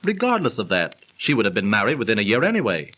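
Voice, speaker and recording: An actor playing a skeptical storyteller in didactic 1930s radio style, narrating and explaining. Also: male voice, lowish voice, huge pitch swings, quick pace, fairly bright tone, heavily acted. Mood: insistent.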